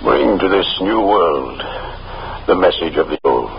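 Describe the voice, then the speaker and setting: An actor playing a gaunt old prophet in a radio drama, solemn and gentle, speaking in measured, preacher-like pronouncements. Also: male voice, deep voice, animated, creaky, full character voice. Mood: fervent, visionary. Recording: muffled, noisy vintage radio recording.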